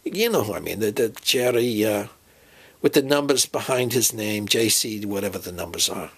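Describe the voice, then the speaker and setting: A man voicing a fussy, forgetful professor in a comedy sketch, halting, muddled and repeating himself. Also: lowish voice, lively intonation, a bit bright, breathy edge, voice pushed down, exaggerated voice. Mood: muddled, deliberating. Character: doddering, fussy, forgetful male professor planning an expedition. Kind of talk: improvised comic dialogue sketch.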